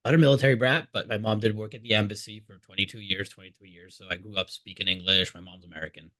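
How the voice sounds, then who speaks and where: A man's voice: fairly low pitch, steady tone, quick pace, sharp tone. A man from Hungary hosting an interview podcast, friendly, laughing and asking follow-up questions. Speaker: podcast host. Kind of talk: casual interview conversation.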